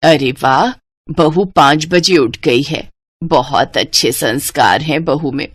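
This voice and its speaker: A woman's voice: sits low, takes it slowly, no room sound, studio quality, pitched below the performer's own voice. A woman voicing a practical, motherly middle-aged mother-in-law from a poor household in a cartoon moral story. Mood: pleased.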